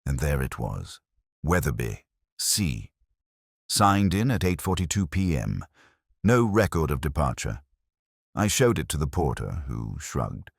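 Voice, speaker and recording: A man reading a scripted first-person literary detective story in a measured, melancholy, reflective manner. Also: very deep voice, big pitch swings, vocal fry, slightly rough, no room sound, clean studio sound.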